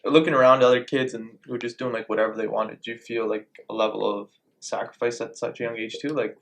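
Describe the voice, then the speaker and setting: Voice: mid-range voice, little pitch movement, vocal fry. A podcast co-host asking questions in a casual, joking way. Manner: quick, joking, laughs loudly. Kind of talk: casual interview.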